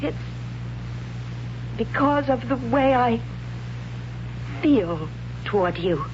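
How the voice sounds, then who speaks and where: Slow, high-voiced, tormented warrior woman. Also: expressive. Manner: halting, intense, solemn. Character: tormented warrior woman Flower, devout, lovestruck, solemn. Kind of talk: 1970s radio drama acting. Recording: muffled, noisy 1970s radio recording.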